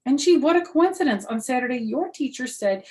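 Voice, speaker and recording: A woman's voice: high-pitched, lively intonation, brisk, a little mellow, voice pushed up. Woman as a patient, probing male schoolteacher. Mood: pointed, knowing.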